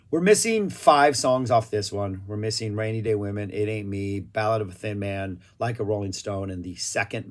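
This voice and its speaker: A man casually reviewing live concert recordings, opinionated and chatty, with a slightly rough voice and occasional throat clearing. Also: lowish voice. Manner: chatty, opinionated, loose with filler words. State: throat a little rough, clearing it now and then. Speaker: music review vlogger. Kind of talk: casual spoken album review.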